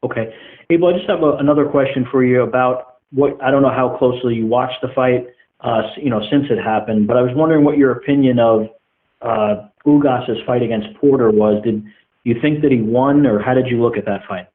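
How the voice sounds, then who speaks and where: A man's voice: medium pitch, a little fast. A man asking questions as a reporter on a press call, informal and roundabout in his wording. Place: phone line on a teleconference. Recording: narrow muffled telephone conference line.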